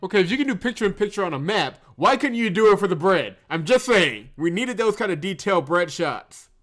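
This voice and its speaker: A man's voice: high-pitched, very animated, a little fast, a bit bright. A man hosting a game playthrough, mixing commentary and narration read aloud with wry, teasing asides. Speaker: host of a visual novel playthrough channel. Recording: music behind the voice. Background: game music.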